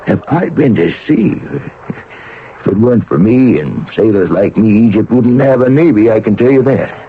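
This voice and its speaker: A man's voice: fairly low pitch, lively intonation, moderate pace, narrow, tinny audio, natural voice. An actor playing a boastful, suspicious Egyptian sailor in a 1970s radio drama, with folksy, blustering working-man talk. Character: gruff, boastful, suspicious Egyptian sailor devoted to his queen. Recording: band-limited, noisy old radio recording.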